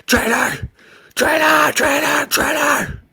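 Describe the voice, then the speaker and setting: A man voicing an angry, hurt teenage boy in a storybook reading, shouting high and strained. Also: fairly even intonation, takes it slowly, gravelly, exaggerated voice. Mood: furious.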